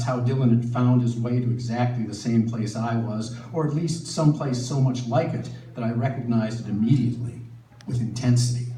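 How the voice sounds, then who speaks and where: A middle-aged man with an American accent reads from his own memoir at a live event. His voice sits at an average pitch. It is picked up from a little distance in an echoey room, with faint noise behind it. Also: mellow. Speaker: author reading from own book. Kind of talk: live literary reading of a personal memoir.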